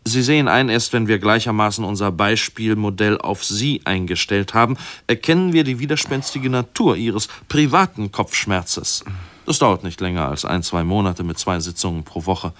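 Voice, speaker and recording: A man's voice: fairly low pitch, normal speed, a bit bright, natural voice. An actor playing a pompous, long-winded male medical researcher who lectures didactically in a radio play. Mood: pompous, excited.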